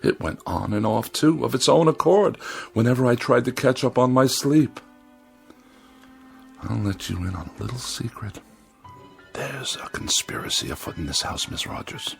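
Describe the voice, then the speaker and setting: A man's voice: medium pitch, lively intonation, moderate pace, creaky, soft background music. A man playing a pompous, irritable and lonely magazine critic with haughty, precise diction in a 1960s television drama. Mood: anxious, desperate. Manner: haughty, ornate, precise wording.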